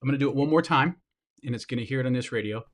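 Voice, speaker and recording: A man's voice: medium pitch, rapid-fire. Casual man reviewing gear. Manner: informal, talks to viewers while handling gear. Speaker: product reviewer. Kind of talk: casual product review and demonstration.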